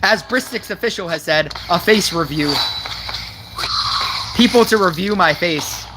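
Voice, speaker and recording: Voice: mid-range voice, a little slow, heavy background noise. A game streamer chatting casually over mobile gameplay, giggly and rambling with lots of fillers. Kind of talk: casual gameplay commentary with chat.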